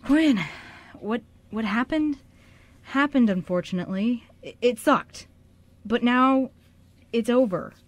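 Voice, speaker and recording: A woman playing an earnest, reassuring young man in an amateur radio drama, speaking haltingly and with emotion. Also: on the high side, no room sound. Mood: urgent, reassuring. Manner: halting, stammering, emotional delivery.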